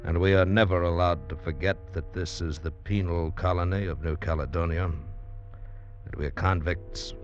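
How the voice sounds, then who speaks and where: A very deep, brooding actor's portrayal of a male convict, weary and bitter, from a vintage radio drama. The recording is dull and old, with faint music behind the voice. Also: steady tone, moderate pace, close to an everyday voice. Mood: grim narration. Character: bitter, weary convict barber in a French penal colony. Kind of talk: old-time American radio drama acting.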